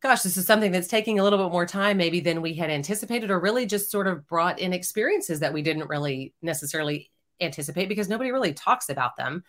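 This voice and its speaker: A woman in her fifties from Texas, a former news anchor speaking as a guest, halting at times and repeating words. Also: on the low side, expressive, a bit bright. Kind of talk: casual interview conversation.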